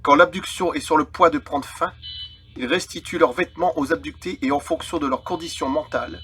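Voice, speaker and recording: A man's voice: a little high, a little fast, a bit bright, husky. A man, reading from a book aloud and adding casual, rambling asides as the host of a video channel. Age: 30s. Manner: informal, rambling, digressive asides. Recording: background music under the voice. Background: eerie film music.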